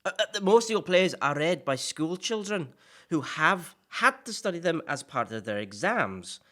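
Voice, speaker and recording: A chatty celebrity podcast host in an audio play, a man played by a woman, with a very animated swing in pitch. The delivery stumbles politely, then turns cheeky and blunt. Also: normal speed, heavily acted. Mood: heated, mocking. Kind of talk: audio play dialogue in interview form.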